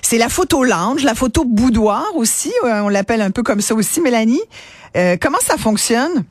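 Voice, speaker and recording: A woman's voice: on the high side, animated, normal speed, dry recording, studio quality. A woman with a Quebec French accent, hosting a radio interview in an informal, chatty conversational way.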